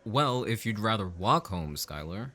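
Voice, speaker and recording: A man playing an affectionate, easygoing father and husband, chipper and gently teasing, in an audio drama. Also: on the low side, expressive, measured pace, heavily acted. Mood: warm, playful.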